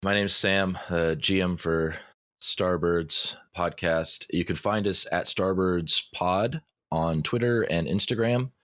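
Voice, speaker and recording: Man, very deep voice, halting and hesitant, dry close sound. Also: a bit bright, no echo. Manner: halting, many fillers and restarts. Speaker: game master, podcast guest. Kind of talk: casual podcast roundtable.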